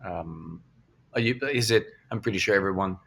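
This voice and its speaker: A man's voice: low voice, moderate pace, gravelly, fairly bright tone, slight background noise. A man hosting a casual podcast interview, asking long, rambling questions with restarts. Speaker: podcast host.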